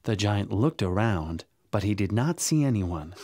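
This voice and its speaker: A man narrating a scripted children's story in an expressive, dramatic way. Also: on the low side, unhurried, dry recording, clean studio sound. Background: music.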